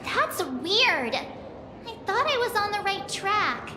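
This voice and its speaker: A woman's voice: very high-pitched, sing-song, slow pace, background noise, full character voice. A woman voicing a small, eager, naive young sidekick who speaks in a hesitant, unsure way. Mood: deflated. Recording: game audio with loud music, echoey.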